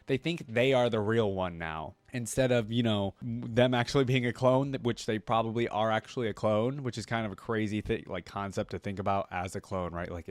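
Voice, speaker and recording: A man hosts a casual reaction video and rambles eagerly with plenty of "like" and "um". His voice sits at a middling pitch and sounds dry and close to the mic. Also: no echo. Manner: enthusiastic, rambling, many fillers.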